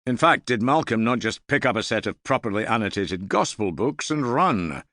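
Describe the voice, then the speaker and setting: A man's voice: lowish voice, very animated, moderate pace, sharp tone, dry recording, voice pushed up. A man voicing a self-assured Norse earl in an audiobook, with a dry, amiable irony. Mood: wry, amiable. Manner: dry, amiable, gravely ironic. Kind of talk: audiobook character dialogue.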